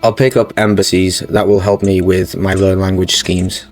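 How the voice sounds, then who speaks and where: A man talks through a strategy game campaign in a low, level voice. His tone stays steady and matter-of-fact as he explains each choice, with soft music playing under him. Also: normal speed. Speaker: gaming channel host. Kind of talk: strategy game playthrough commentary. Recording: faint music bed under the voice.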